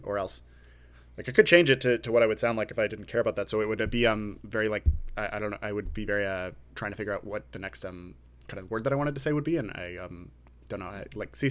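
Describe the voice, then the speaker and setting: A man co-hosting a podcast about a writing contest, talking slowly and deliberately, pausing rather than using fillers. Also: on the low side, a bit bright. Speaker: podcast co-host. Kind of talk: casual podcast chat. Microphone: podcast microphone.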